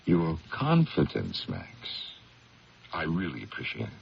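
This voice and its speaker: A man's voice: very deep voice, wildly swooping pitch, unhurried, creaky, heavily acted. A man playing a troubled, guarded district attorney in a 1970s radio drama, educated and hesitant under pressure. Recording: muffled 1970s radio recording, faint hiss.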